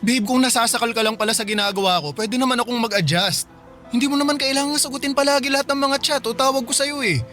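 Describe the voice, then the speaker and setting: A man's voice: very high-pitched, steady tone, fast, sharp tone, soft background music. A man acting a devoted, clingy young boyfriend in a Filipino radio drama, earnest and anxious. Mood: hurt, pleading. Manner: pleading, earnest.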